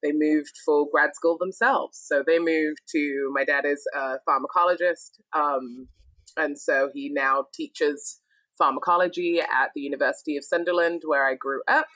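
A woman's voice: deep voice, steady tone, moderate pace, a bit bright, no echo, low-quality recording. A young adult woman, a guest chatting cheerfully and laughing easily on a podcast. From UK, born in Scotland and raised in northern England. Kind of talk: casual podcast conversation.

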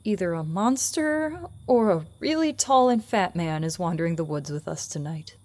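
A woman playing a bright, watchful young college student investigating in a horror audio drama, earnest and urgent. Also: lowish voice, expressive, moderate pace, close to an everyday voice. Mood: wary, probing. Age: young adult.

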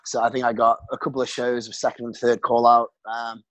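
A man's voice: mid-range voice, little pitch movement, a little fast, no echo. A British man in his twenties, a podcast guest chatting casually, laughing often and swearing freely. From Britain. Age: 20s. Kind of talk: casual interview chat.

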